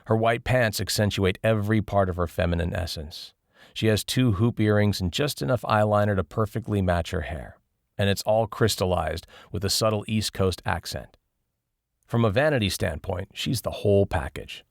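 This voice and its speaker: A man's voice: on the low side, moderate pace, gravelly, no echo, studio quality. A man reading a first-person travel memoir as scripted audiobook narration.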